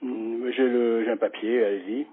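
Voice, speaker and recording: A man's voice: mid-range voice, steady tone, moderate pace, slightly rough. Hesitant sixty-year-old man on a muffled phone. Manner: hesitant, stammering, repeats words. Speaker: customer on a sales call. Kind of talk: casual phone conversation. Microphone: telephone.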